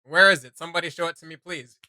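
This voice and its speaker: Bright, clear-voiced man rambling casually. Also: fairly high pitch, fast talker, no echo, studio quality. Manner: rambling, excitable, slangy. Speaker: host of a video game reaction show. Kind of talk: casual reaction chat.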